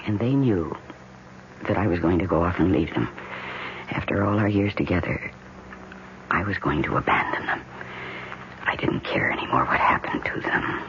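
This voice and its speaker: A woman's voice: rumbling low, animated, normal speed, pauses often. An actress playing an obsessive, tender woman who dotes on her houseplants, in an intense, cooing, theatrical 1970s radio drama style. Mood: haunted, confiding. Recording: dull, noisy old radio broadcast recording.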